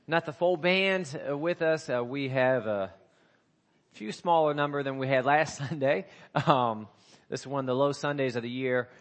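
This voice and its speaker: A man serving as pastor, preaching to his congregation with a scratchy voice from a coming cold, talking haltingly with many fillers and restarts. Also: fairly high pitch, fairly bright tone. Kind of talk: sermon. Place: church sanctuary.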